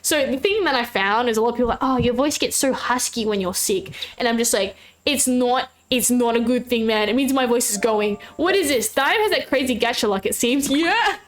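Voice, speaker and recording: A woman's voice: fairly high pitch, brisk, hardly any pauses. A woman streaming a fishing game, chatting casually in a bubbly way, with a slight sore throat. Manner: bubbly, chatty, frequent squeals and laughs. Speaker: VTuber streamer. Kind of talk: casual live-stream chat.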